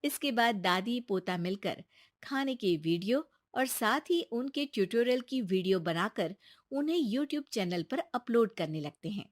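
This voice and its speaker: A woman's voice: mid-range voice, lively intonation, measured pace, no room sound, clean studio sound. A woman narrating a scripted moral story as its storyteller.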